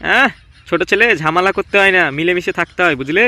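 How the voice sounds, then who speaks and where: Man as a high-voiced, patronising village father. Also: fast talker, pushed and bright, slight background noise. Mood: gently admonishing. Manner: admonishing, patronising. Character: calm, nosy village father admonishing youngsters. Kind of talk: comedy cartoon dialogue.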